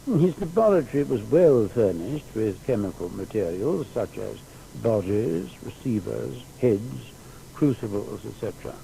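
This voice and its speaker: A man playing a diligent seventeenth-century secretary, giving formal, measured, precise testimony in a dramatised audio biography. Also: fairly low pitch, vocal fry, full character voice, low-quality recording. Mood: earnest recollection. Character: Newton's secretary, diligent, precise period assistant recalling his master. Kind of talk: dramatised first-person historical testimony. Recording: steady faint background noise.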